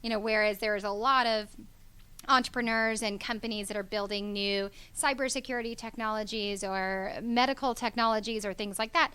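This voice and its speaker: A woman's voice: medium pitch, fairly even intonation, moderate pace, bright, forceful tone, clear, resonant tone. A young woman from Georgia in the US, a company founder speaking earnestly as an interview guest, with many ums and you knows. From Georgia, USA. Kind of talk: business interview.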